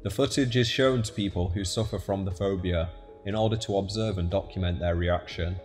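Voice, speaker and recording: Male narrator, lowish pitch, scripted documentary read over faint music. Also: fairly quick, a little mellow.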